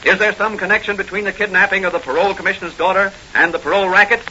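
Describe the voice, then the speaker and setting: Male serial announcer, dramatic delivery, bright cutting tone, muffled old-time radio recording with some noise. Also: high-pitched, steady tone, racing. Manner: dramatic, declamatory. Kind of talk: 1940s radio scripted narration.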